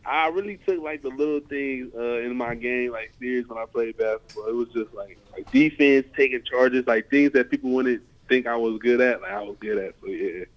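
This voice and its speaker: A man's voice: mid-range voice, moderate pace, few pauses. A man in his twenties from the United States, an athlete giving informal interview answers full of "you know" and "like" on a conference call. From United States (California and Ohio). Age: 20s. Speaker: American football player, linebacker. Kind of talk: casual press interview answers. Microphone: remote conference call. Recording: dull, lo-fi conference call audio.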